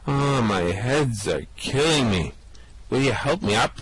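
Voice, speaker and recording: A man's voice: medium pitch, animated, measured pace, faint music behind, natural voice, low-quality recording. A man voicing a boastful two-headed space adventurer in an amateur radio play reading, swaggering and groaning through a hangover.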